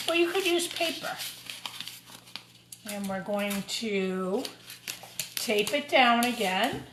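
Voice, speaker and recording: A woman teacher giving an informal craft demonstration for young children, talking through each step as she works. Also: fairly high pitch. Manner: talks through each step while working. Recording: roomy echo, clear background noise and clatter.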